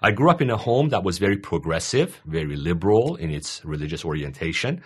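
A man in his forties from Iran, a guest in an interview, telling his story in a measured, explanatory way. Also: low-pitched, big pitch swings, slightly rough. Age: 40s. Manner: measured, explanatory, with frequent uh fillers. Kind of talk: interview conversation.

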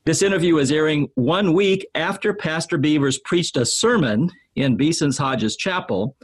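A man hosting a podcast who reads the show's introduction in a measured, formal way. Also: fairly high pitch, breathy edge. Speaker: podcast host. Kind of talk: scripted show introduction.

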